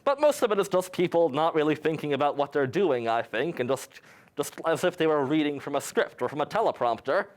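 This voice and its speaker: A man's voice: on the high side, animated, fairly quick. A young man from New York, a student guest in a campus TV studio, answering with dry, mock-grandiose humor. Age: young adult. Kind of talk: tongue-in-cheek interview answers.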